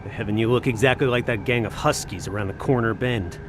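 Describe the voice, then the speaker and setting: A man's voice: medium pitch, a little fast, background noise, lightly acted. An actor playing a weary, irritable expedition man in an audio drama. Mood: irritated, bitter. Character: weary, irritable male expedition member under suspicion.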